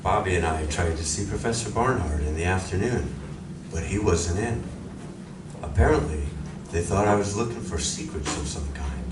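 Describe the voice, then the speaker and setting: A man playing a calm, grave alien visitor in a live radio drama, speaking in a measured, formal and deliberate way. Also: low voice. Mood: polite, gentle. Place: library community room, live performance. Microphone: audience member's distant recorder. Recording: echoey room from far off, noisy.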